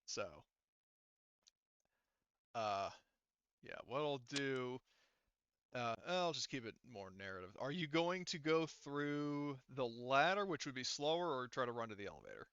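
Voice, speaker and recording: A man with a clear, bright voice narrates a tabletop game as its game master. He feels his way through scenes informally, with many hesitations. The sound is close and dry but a little dull, like lo-fi voice chat. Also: mid-range voice, sing-song, dry recording. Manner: halting, filler-heavy, informal.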